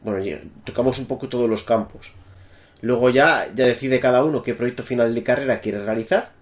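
A man's voice: mid-range voice, brisk. Guest, male, Madrid accent, conversational, speaks over a remote call, searches for words as he talks. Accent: Madrid Spanish. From Spain. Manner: thinking aloud, many fillers and restarts. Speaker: guest, technical architect. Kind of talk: remote interview answers.

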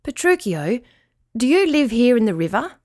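A woman voicing a friendly old male dog in a children's story, speaking gently, politely and reassuringly. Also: fairly high pitch, sing-song, normal speed. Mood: kind.

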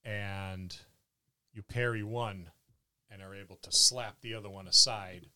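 A man working as a game master, improvising halting, descriptive narration of a tabletop fantasy battle. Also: on the low side, fairly even intonation, sharp tone, smooth.

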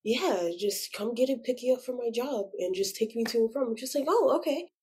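A woman's voice: fairly high pitch, animated, fairly quick, darkish tone, husky. A woman in her twenties chatting as an interview guest, telling personal stories in an informal, slangy way. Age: 20s. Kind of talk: casual chat, storytelling.

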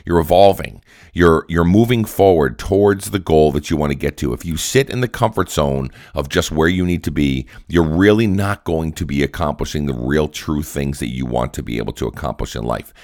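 Solo podcast host, male, deep and raspy with a cold, earnest pep-talk delivery. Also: normal speed, darkish tone, no echo, clean studio sound. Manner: earnest, repetitive, coaching tone.

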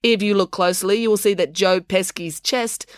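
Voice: mid-range voice, steady tone, normal speed, smooth, dry recording, clean studio sound, voice pushed up, close to an everyday voice. A narrator voicing a pedantic, officious boy who declares things precisely in a storybook. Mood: declaring.

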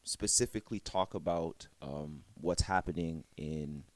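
A man hosting a podcast from his home in casual chat, relaxed and explaining things plainly. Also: rumbling low, measured pace, no room sound. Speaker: podcast host.

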